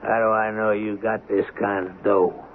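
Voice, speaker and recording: A low, gravelly voice drawls slowly out of a thin vintage radio recording, a man acting the part. It is a crooked western gambler, brash and scornful, full of mocking bluster. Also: little pitch movement, close to an everyday voice. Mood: wary, cocky. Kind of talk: 1970s radio drama acting. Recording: narrow-band vintage radio recording with faint hiss.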